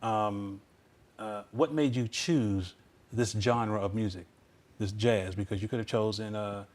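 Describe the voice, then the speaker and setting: A man's voice: on the low side, fairly even intonation, measured pace, a little mellow. Man, co-host, casual, friendly, repetitive. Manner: affable, repeats himself, agrees often. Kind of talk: casual interview chat.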